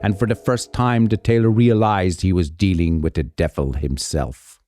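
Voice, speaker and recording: A man narrating a folk tale as a storyteller, with theatrical, deliberate phrasing. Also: low-pitched, steady tone, dark tone, soft background music.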